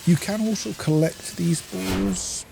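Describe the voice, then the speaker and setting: A man giving casual playthrough commentary as a gaming host, thinking aloud in an unhurried way as he plays. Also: high voice, creaky, over music, poor audio.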